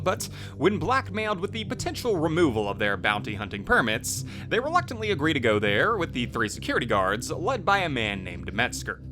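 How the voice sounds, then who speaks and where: Male video host, scripted narration, highly animated intonation, music bed underneath. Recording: music playing behind the voice. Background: loud music.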